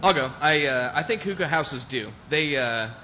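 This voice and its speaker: Bright-voiced man hosting a casual podcast. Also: fairly high pitch, normal speed, slight background noise. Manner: relaxed, announces matchups and picks. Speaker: podcast host.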